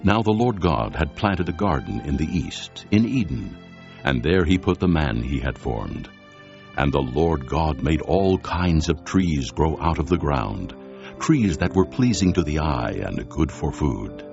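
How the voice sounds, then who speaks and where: Bible narrator, male: extremely deep and gravelly, solemn with wide intonation, music underneath. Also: sing-song, darkish tone. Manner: solemn, measured storytelling. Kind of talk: dramatized scripture narration. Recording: dull lo-fi audio with music behind.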